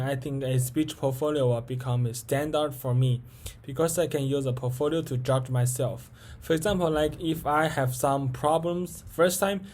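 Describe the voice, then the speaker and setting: A young man, a native Chinese speaker and international university student, answers set questions for a spoken English assignment, hesitant and halting, with fillers and restarts. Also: on the high side, a little mellow.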